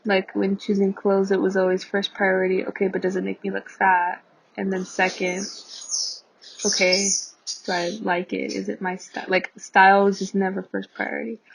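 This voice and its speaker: A woman's voice: mid-range voice, completely flat delivery, normal speed, vocal fry, slight background noise, low-quality recording. A young American woman giving a candid personal monologue in an informal, unfiltered way. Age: young adult. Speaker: anonymous testimony participant.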